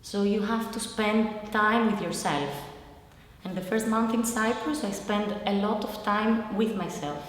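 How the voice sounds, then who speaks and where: A woman's voice: medium pitch, measured pace. A young woman with a Greek accent, a native Greek speaker, giving a reflective personal account in simple, searching non-native English. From Greece. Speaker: interviewee, immigrant living in Italy. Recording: very echoey reverberant hall, faint noise.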